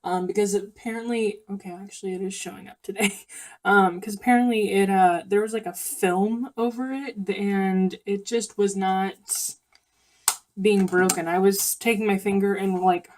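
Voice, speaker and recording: A woman's voice: mid-range voice, steady tone, normal speed, a little mellow. Sniffly young woman rambling casually. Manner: rambling, many ums and self-corrections. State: sniffly, coming down with a cold. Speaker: beauty vlogger. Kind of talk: casual makeup tutorial chat.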